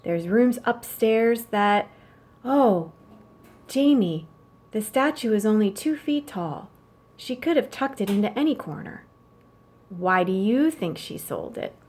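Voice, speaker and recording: A woman's voice: mid-range voice, animated, slow, a little mellow. A woman voicing a young boy in a children's storybook, a blunt, money-minded and eager little brother. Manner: blunt, matter-of-fact.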